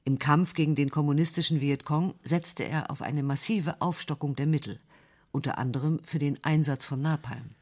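A man reading a history documentary script in a clear, composed way. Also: a little high, fairly even intonation, moderate pace, a little mellow. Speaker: documentary narrator.

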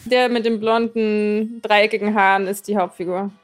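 A woman's voice: medium pitch, steady tone, normal speed, soft background music. A woman, chatting casually as a visiting podcast host indoors. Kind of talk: casual chat. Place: indoors in an apartment.

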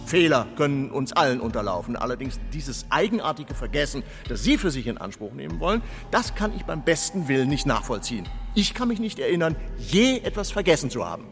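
Animated, lecturing male lawyer. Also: mid-range voice, a little fast, hardly any pauses, soft background music. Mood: stern. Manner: formal, lecturing. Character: lecturing, self-assured male court lawyer. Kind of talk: radio courtroom drama.

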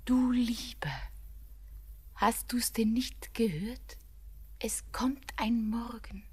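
An actress voicing Anna, a young bride torn between tenderness and despair, moving between hushed whispers and passionate outbursts. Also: female voice, on the high side, steady tone, slow, slightly rough, full character voice. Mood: whispering. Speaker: lead actress. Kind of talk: classic radio play acting.

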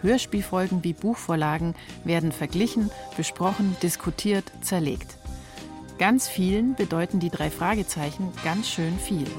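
A woman's voice: lowish voice, normal speed, over music. A woman reporter reading scripted narration for a radio feature.

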